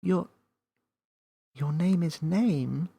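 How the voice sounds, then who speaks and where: A man's voice: on the high side, extremely slow, creaky, mellow, breathy edge, pitched above the performer's own voice, exaggerated voice. A man voicing a curious seven-year-old girl in a children's story, earnest, questioning and easily frustrated. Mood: puzzled.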